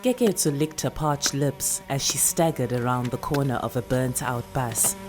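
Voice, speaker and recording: A woman's voice: very deep voice, moderate pace, music in the background. A woman with a South African accent reading a literary short story as a scripted narration.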